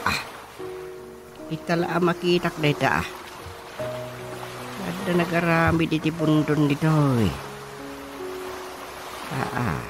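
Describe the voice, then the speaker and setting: A man playing a fretful old father in a comic radio drama, whining, muttering and exclaiming. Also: medium pitch. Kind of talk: comedic radio drama acting.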